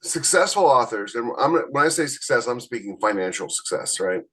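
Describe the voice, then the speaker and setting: A man's voice: mid-range voice, lively intonation, brisk, a bit bright, husky. A man hosting a podcast, explaining things from experience in a casual, conversational way and talking straight to the listeners. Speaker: podcast host, self-published author.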